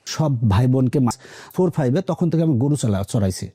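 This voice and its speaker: A man's voice: mid-range voice, lively intonation, normal speed, warm tone, breathy edge. A man in his thirties from rural Bangladesh, with a Bangladeshi accent, recounting his life story conversationally as an interview guest. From rural Bangladesh, near the Indian border. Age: 30s.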